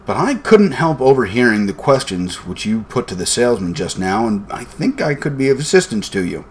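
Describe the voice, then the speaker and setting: A man voicing a shrewd gentleman detective in a storybook, bland, measured and confident. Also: medium pitch, big pitch swings, close to an everyday voice.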